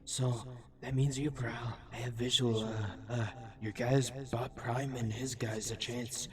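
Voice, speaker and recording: A man's voice: medium pitch, level tone, a little slow, lightly acted. A man voicing a lonely, chatty robot scout in a comic dub, hesitant, rambling and eager to please, with music behind. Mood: flustered.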